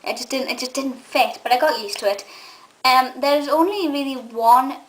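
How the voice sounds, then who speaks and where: A young woman from England chatting casually to camera, enthusiastic and rambling, with a slightly gruff voice. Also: sits high, moderate pace, low-quality recording. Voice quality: says she has a gruff voice. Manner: enthusiastic and nostalgic, rambling with many ums. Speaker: vlogger and film reviewer.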